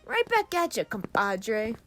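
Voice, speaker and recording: A woman's voice: a little high, very animated, a little slow, fairly bright tone, faint music behind. An actress playing a breezy, mischievous young troublemaker in an audio drama, glib and playful in her delivery. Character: breezy, mischievous young troublemaker full of half-baked theories.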